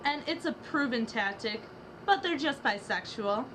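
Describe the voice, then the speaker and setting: A woman's voice: a little high, animated, measured pace, noisy background. A young woman doing a dramatic reading of rap lyrics as a student project, trading rhythmic lines with a partner. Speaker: student reader.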